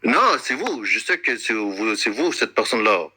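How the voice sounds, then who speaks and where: Man, very sharp forceful voice, slightly low pitch, insistent, repetitive, halting spontaneous complaint. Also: very animated, slightly rough. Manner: halting, searching for words. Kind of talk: unscripted accusatory complaint in a conversation.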